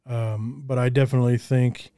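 Smooth-voiced male interview host. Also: medium pitch, flat delivery, moderate pace, dry recording, studio quality. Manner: warmly affirming, short encouraging replies. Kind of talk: casual interview.

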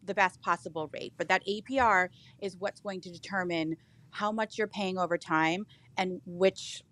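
A woman guest giving financial advice in a clear, advising manner and repeating words for emphasis. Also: lowish voice, fairly even intonation, normal speed, fairly bright tone. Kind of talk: interview advice.

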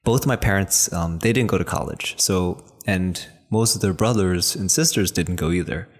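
A man's voice: sits low, normal speed. A man in his thirties from New hosting a podcast, speaking with earnest encouragement straight to the listener. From New Jersey, raised in New York. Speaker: podcast host and acupuncturist. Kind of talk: motivational podcast talk.

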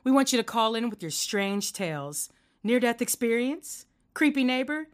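A woman's voice: a little high, animated, normal speed, lightly acted. An actress playing a wry late-night radio host, presenting to her listeners. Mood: cheerful, teasing.

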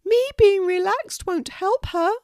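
A woman voicing a sad young female pelican, speaking slowly in a very high, soft, tearful voice. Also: no echo, clean studio sound, heavily acted. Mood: pleading.

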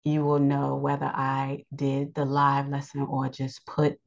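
Low, scratchy-voiced woman teaching scripture. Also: monotone, vocal fry. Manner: rambling, many pauses and ums. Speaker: podcast teacher. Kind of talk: scriptural lesson podcast. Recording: dull lo-fi sound.

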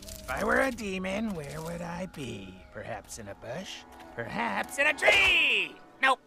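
An excitable cartoon hero, with a gravelly edge and wildly swinging pitch. He is scatterbrained and emotional, stammering between mutters and yells. Loud music plays behind him. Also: a little slow, exaggerated voice. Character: excitable scatterbrained male demon hunter, emotional and tender. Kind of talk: animated comedy acting.

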